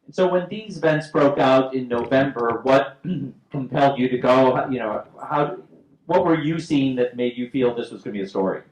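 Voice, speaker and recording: Male Q&A moderator, measured and conversational, mid-pitched, roomy echo. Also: lively intonation. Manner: measured questions with fillers and throat-clearing. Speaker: host, moderator. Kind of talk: post-screening Q&A moderation. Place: theater stage after a screening. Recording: roomy theater sound.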